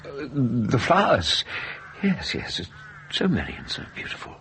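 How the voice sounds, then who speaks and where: A sardonic, anxious British academic in a radio drama. He is theatrical and insistent, with wide-ranging intonation over a clearly noisy recording. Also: mid-range voice, wildly swooping pitch, normal speed, bright, forceful tone. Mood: probing. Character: sharp, anxious, sardonic academic doctor investigating the uncanny.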